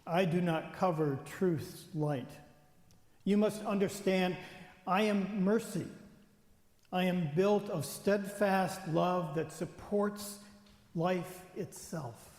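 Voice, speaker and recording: A man playing Mercy, a gentle, steadfast brother who defends forgiveness, in a dramatized church reading. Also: high-pitched, takes it slowly. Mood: earnest, pleading. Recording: echoey hall, long reverb.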